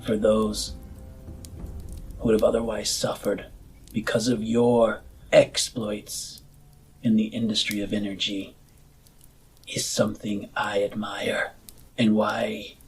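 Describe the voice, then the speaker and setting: Man playing a slow, gravelly wounded politician. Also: lowish voice, level tone, a little hiss or hum, poor audio. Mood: earnest, persuasive. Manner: slow, measured, formal oratory. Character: burned, chair-bound male politician, solemn and earnest.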